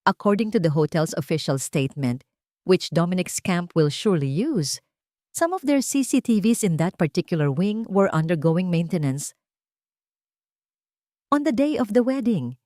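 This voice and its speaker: A woman voicing a calm but sharp female lead lawyer in an audio drama, speaking formally and deliberately with English legal terms mixed in. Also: lowish voice, no echo, studio quality. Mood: firm, explaining.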